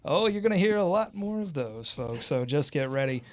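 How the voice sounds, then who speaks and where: Upbeat man hosting sports talk, higher-pitched voice. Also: lively intonation, normal speed. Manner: upbeat, joking. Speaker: sports podcast host. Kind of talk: lively sports talk banter.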